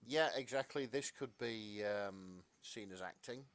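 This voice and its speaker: A man's voice: lowish voice, a little slow, fairly bright tone. A man co-hosting a podcast, chatting casually in a wry, somewhat hesitant way. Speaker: podcast co-host and narrator. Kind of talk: casual comedic podcast chat.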